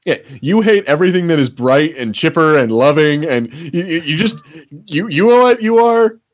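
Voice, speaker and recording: A man's voice: fairly high pitch, lively intonation, moderate pace. A man co-hosting a podcast in casual, unscripted chat, rambling, searching for words and breaking off mid-thought, with some swearing. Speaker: podcast co-host.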